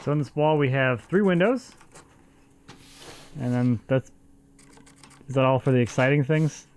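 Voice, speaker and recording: A man in his thirties, relaxed and easygoing, narrating home addition work outdoors on a camera, with frequent fillers. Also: medium pitch, unhurried, smooth. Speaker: vlog host filming a home addition. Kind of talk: casual narration of construction work. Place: outdoors on a deck by a house.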